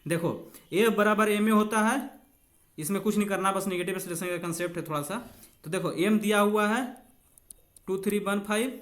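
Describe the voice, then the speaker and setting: A man's voice: sits high, steady tone, fairly quick, long pauses, a bit bright. A man giving an informal bilingual exam lesson, talking to students as friends while explaining questions step by step. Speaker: exam coaching teacher.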